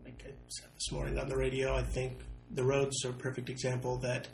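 Young man, flat gravelly voice, echoey room. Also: mid-range voice, brisk, slight background noise. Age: young adult. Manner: earnest, hedging with "I think" and "I mean". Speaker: city council candidate. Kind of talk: candidate interview.

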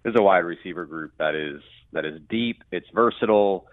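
A man's voice: low-pitched, normal speed, dry recording. A man talking sports as a radio play-by-play guest, in a chatty, conversational analysis style. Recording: muffled, band-limited phone-like line.

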